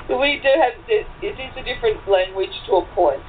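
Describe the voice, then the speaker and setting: An Australian woman being interviewed, explaining slowly with many fillers and repeats. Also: on the high side. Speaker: guest. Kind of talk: casual interview chat. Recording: tinny phone-like line, faint noise.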